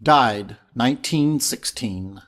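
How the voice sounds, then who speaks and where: A man's voice: mid-range voice, animated, slow pace, fairly bright tone. A man reading poetry aloud in a recitation style. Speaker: poetry reader.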